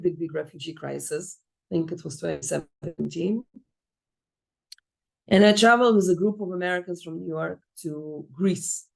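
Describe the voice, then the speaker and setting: Gravelly-voiced woman telling a story. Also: lowish voice. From Israel. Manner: storytelling, acts out dialogue. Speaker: course teacher. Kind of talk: lecture with a personal story.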